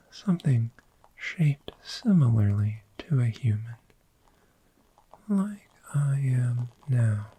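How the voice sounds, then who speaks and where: A performer voicing a gentle, friendly dragon in human form for a sleep ASMR roleplay, in a soft breathy whisper with unhurried, soothing pauses. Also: mid-range voice, heavily acted, rough recording. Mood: tender, drowsy.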